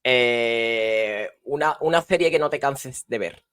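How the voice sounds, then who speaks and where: A man's voice: medium pitch, slow pace, fairly bright tone, clear, resonant tone, no echo, studio quality. A man hosting a podcast, asking short, friendly interview questions and giving brief prompts. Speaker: podcast host. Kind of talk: quick-fire interview questions.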